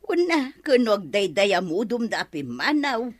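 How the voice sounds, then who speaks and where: A woman's voice: medium pitch, big pitch swings, a little slow. A woman playing a gossipy village neighbour in a radio comedy drama. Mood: tattling.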